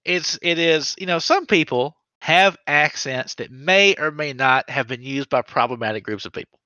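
A man's voice: a little high, moderate pace, no room sound, dull audio. A man co-hosting a podcast, reading out listener stories in casual chat with sarcastic asides and frequent laughs. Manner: animated, sarcastic, laughs often.

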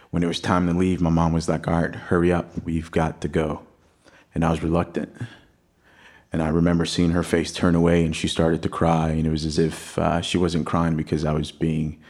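Deep, flat-voiced young man on stage. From Greece, raised in Texas. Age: young adult. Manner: reflective, personal storytelling. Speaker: singer-songwriter performing live. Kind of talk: spoken song introduction on stage. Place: live concert hall stage.

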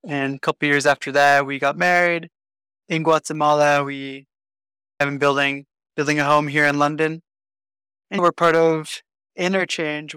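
A man from Canada, a podcast guest talking in a relaxed, chatty way and leaning on "like". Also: fairly high pitch, clean tone, no echo. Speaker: podcast guest, community worker. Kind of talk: casual interview talk.

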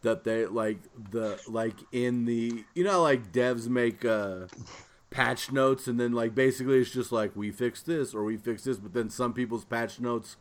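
Guy podcast host just chatting, kinda rambles, medium pitched voice. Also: measured pace. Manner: rambling, loosely phrased. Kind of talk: casual chat.

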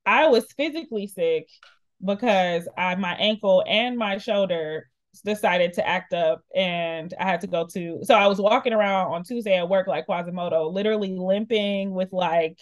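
A woman in her thirties chats casually on a podcast, telling stories in a playful, animated way. Her voice sits in an ordinary middle range. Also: medium pitch, normal speed. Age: 30s. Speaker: podcast co-host. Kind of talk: casual chat.

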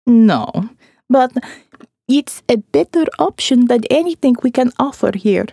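Audiobook character: woman as awkward, creepy middle-aged man of medicine, detached and blunt, soft-edged tone, animated intonation. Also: on the high side, measured pace, gravelly, no echo, studio quality, heavily acted. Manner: clinical, matter-of-fact, detached.

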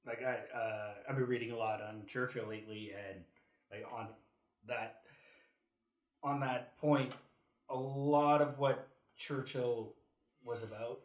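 A man's voice: mid-range voice, steady tone, slow pace, pauses often. A man discussing history casually as a podcast panelist, recorded in a room. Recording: echoey room.

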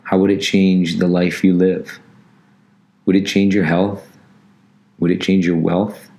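A man hosting a motivational podcast, his voice raspy and hoarse as it recovers from laryngitis, speaking slowly and steadily. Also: sits low, flat delivery, dark tone. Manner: slow, measured motivational delivery. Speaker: martial arts instructor and podcast host.

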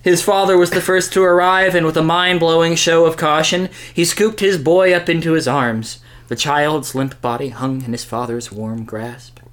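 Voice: medium pitch, steady tone, moderate pace, hardly any pauses. A podcast host reading a crude comic story aloud in a theatrical, amused way. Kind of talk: dramatic comic reading of narration.

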